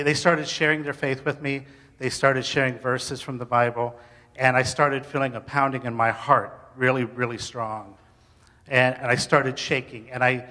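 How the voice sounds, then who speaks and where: Male testimony speaker, bright forward voice, informal and halting delivery, reverberant hall. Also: mid-range voice. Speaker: speaker sharing faith story. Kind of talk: personal testimony told to an audience.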